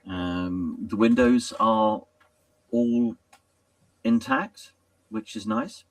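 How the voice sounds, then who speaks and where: Slow, low-voiced male game master. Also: no room sound, distant mic. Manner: halting, hesitant, pausing mid-sentence. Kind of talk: improvised tabletop roleplay narration.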